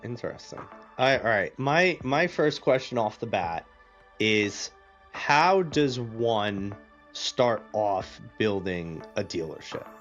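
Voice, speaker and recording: A man's voice: fairly low pitch, sing-song, slow, a bit bright, soft background music. A man hosting a podcast, upbeat and conversational, who asks loose questions. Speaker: podcast host. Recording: dull lo-fi sound.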